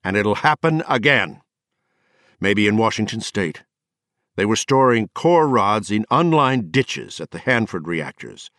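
A man's voice: lowish voice, fairly bright tone, no room sound, studio quality, voice pushed up. A man voicing a drunk, bitter and eloquent poet who rants mockingly with a rising voice. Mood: grim warning. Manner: mocking, sardonic, raising his voice.

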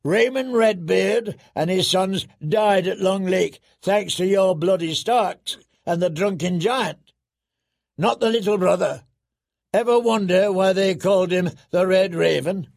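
A man's voice: sits high, unhurried, creaky, darkish tone, voice pushed up. A man voicing a boisterous, crude wildling warrior chieftain in an audiobook, blustering and bawdy. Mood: bawdy, amused.

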